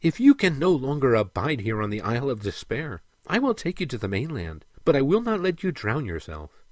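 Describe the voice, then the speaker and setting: A man voicing a gentle, earnest female mermaid in a storybook, with soft, stately phrasing. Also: mid-range voice, big pitch swings, fairly quick, no room sound, clean studio sound, close to an everyday voice. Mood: adamant.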